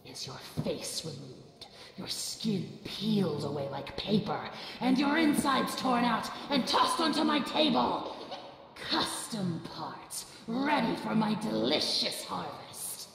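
An actress voicing a sadistic, sickly-sweet female cartoon angel villain with a gravelly edge, in an echoing hall. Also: on the high side, sing-song, slow, slight background noise, full character voice. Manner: sickly-sweet, mocking, calls the listener honey. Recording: echoey reverberant hall, faint ambience.

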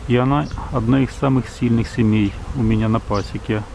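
Calm, gravelly-voiced beekeeper. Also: male voice, mid-range voice, slight background noise. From Ukraine. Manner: measured, matter-of-fact, reads out times and temperatures. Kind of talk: calm narration of apiary footage. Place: outdoors at an apiary beside hives. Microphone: camera's built-in mic. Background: buzzing bees.

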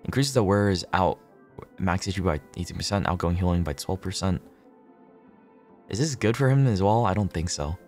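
Deep-voiced man on a casual gaming stream. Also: expressive, moderate pace, darkish tone, faint music behind. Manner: casual, sweary, repeats himself, thinks aloud. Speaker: livestreamer. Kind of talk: casual gaming livestream chat with viewers.

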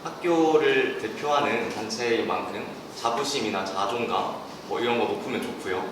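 A young man answering student questions in a calm, matter-of-fact way. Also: medium pitch, moderate pace. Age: young adult. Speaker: student ambassador. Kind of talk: casual panel Q&A. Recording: echoey room, distant mic, noisy.

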